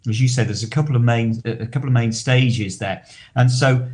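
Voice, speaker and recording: A man co-hosting a podcast in casual discussion, talking wordily and haltingly as he repeats and rephrases himself. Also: mid-range voice, steady tone, darkish tone. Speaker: podcast co-host.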